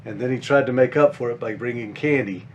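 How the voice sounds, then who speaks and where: A man's voice: medium pitch, brisk, highs cut off, slight background noise. A man reading his own children's picture book at storytime, explaining the pictures simply as if to young children.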